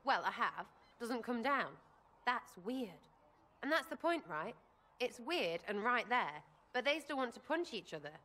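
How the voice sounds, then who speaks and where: An actress with an English accent playing a cheeky, irreverent young elf archer in a video game, rambling in blunt slang. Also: female voice, a little high, very animated, a little slow, bright, forceful tone, heavily acted. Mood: cheeky, playful. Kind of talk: video game character acting.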